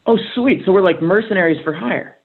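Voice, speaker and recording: Guy playing cocky eager gamer, husky, phone-like sound. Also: sits high, brisk. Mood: flippant, eager. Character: flippant, eager male gamer newcomer. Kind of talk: table read of a comedy screenplay. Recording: tinny phone-like call audio.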